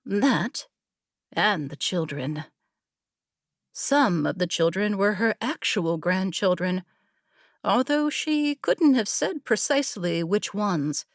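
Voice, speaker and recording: A woman narrating a scripted story as an audiobook, in a measured, literary storytelling manner. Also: mid-range voice, expressive, no echo, clean studio sound.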